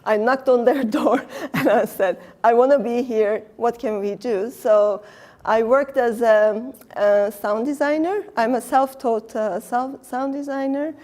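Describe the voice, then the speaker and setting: Turkish lady guest, slightly high voice, lots of uhs, easygoing interview chat. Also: unhurried, a little mellow. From Turkey. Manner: thoughtful, many fillers, laughs often. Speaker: design researcher, guest. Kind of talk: casual interview talk. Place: design exhibition venue.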